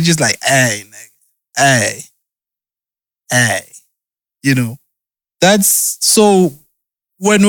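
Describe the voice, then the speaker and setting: A man's voice: on the high side, no room sound, clean studio sound. Blunt, profane man pressing questions. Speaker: conversation partner. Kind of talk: casual chat.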